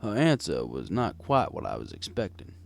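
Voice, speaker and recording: A man narrates a tale in the first person as a kindly, world-weary old barkeep. His voice sits fairly low with a gravelly creak, and it moves in lively swings through the scripted lines. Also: moderate pace, soft background music. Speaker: audiobook narrator.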